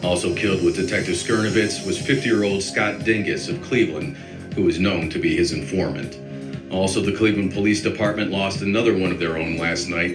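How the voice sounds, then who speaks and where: Man, news reader, very low voice, steady newscast read, echoey with a loud music bed. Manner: measured, even newscaster delivery. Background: loud background music.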